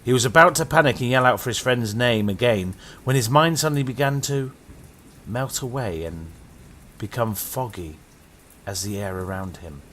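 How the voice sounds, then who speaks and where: A man's voice: normal speed, fairly bright tone, slight background noise. A British man narrating a horror story in a dramatic storytelling manner. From Britain. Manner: dramatic storytelling with sweeping pitch.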